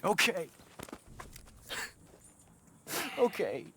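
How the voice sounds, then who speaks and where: Very high-voiced, naive youth. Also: male voice, very animated, normal speed, bright, forceful tone, slight background noise, exaggerated voice. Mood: subdued, sheepish. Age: young adult. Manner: stammering, earnest. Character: naive, overwrought young man, innocent frontier runaway. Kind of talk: dramatic video game acting.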